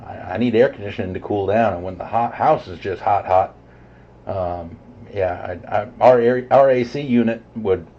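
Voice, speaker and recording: A man chatting casually over CB radio in a halting, low-key way. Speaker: CB radio operator. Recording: dull, muffled CB radio signal.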